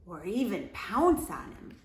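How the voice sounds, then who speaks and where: A woman reading a children's picture book aloud in a deliberate, expressive storyteller's manner. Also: mid-range voice, echoey room, a little hiss or hum. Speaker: story time reader.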